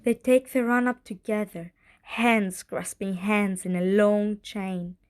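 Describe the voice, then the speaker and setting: A woman narrating an audio drama in a measured, solemn storytelling style. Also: medium pitch, lightly acted.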